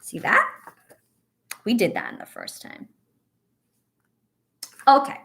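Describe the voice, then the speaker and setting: A woman teacher reading aloud to young children and guiding a breathing exercise, with an encouraging, instructive, expressive storybook delivery. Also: medium pitch, takes it slowly, vocal fry.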